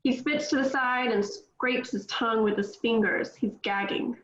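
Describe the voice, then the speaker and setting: A woman's voice: a little high, moderate pace, fairly bright tone, a little hiss or hum. Woman reading stage directions, echoey. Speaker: script reader. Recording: echoey room, dull lo-fi sound.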